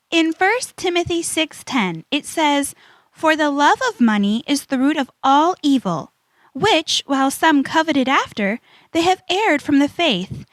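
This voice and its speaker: A very high, animated young adventurer, played by a woman, earnest and sensible with a readiness to be amazed. The delivery is that of a children's audio drama, recorded dry and close. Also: dry recording, exaggerated voice. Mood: earnest, explaining. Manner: earnest, expressive, stammers in disbelief.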